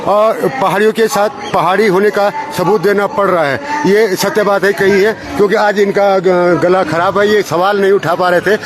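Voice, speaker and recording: Halting male reporter outdoors. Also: high voice, steady tone. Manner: halting, stumbling restarts. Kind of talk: reading a placard, then commentary. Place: outdoors at a rally. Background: crowd noise.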